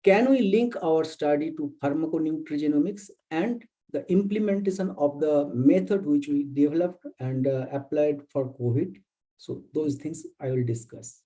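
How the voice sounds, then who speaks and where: Mellow Indian-accented man giving an academic lecture. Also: a little high, rough recording. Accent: Indian English. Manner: deliberate, halting, careful phrasing. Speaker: visiting professor, guest lecturer. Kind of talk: academic webinar presentation with slides.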